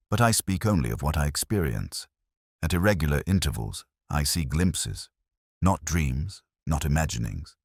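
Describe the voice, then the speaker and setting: A man voicing a grave, haunted gentleman seer in an audiobook, formal and quietly earnest. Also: extremely low pitch, no echo, studio quality, full character voice.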